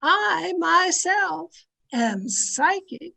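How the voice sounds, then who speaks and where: A woman's voice: very high voice, expressive, extremely slow, vocal fry, pushed and bright, heavily acted. A woman playing a pompous, bossy society wife who fancies herself a medium, in theatrical old-time radio style. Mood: haughty, insistent. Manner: theatrical, imperious, grandly emphatic. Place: remote recording over a video call.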